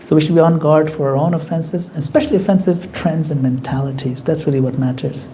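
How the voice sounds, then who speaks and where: A man leading a devotional class, answering questions from the group in an earnest, gently advising way. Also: on the high side, fairly even intonation, fairly quick, slight background noise. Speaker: class leader taking questions. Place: community temple class.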